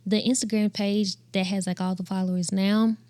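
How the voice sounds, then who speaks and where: A young woman in her twenties, a gospel singer with a high voice, telling her story in an animated interview. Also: normal speed, no room sound. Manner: animated, laughs often, acts out quotes in a higher voice. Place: podcast studio set with couches. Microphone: boom mic on a stand.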